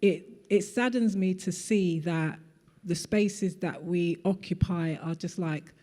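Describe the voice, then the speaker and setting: A woman's voice: on the low side, slow pace, dark tone. A woman artist answering a question at a live panel talk, earnest and full of "like" fillers. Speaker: guest artist. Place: event hall. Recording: long reverb of a hall.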